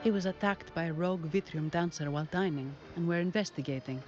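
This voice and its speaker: Actress as a composed bank agent, music behind. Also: female voice, fairly low pitch, quick pace, lo-fi sound, close to an everyday voice. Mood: businesslike. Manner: matter-of-fact, composed. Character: composed, businesslike young female bank agent, quietly shrewd. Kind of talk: video game voice acting. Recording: band-limited game capture with loud music.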